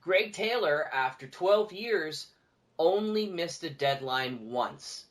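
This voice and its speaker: A man's voice: a little high, lively intonation, takes it slowly, fairly bright tone. A man talking casually as a guest on a podcast, speaking emphatically and repeating key words for stress.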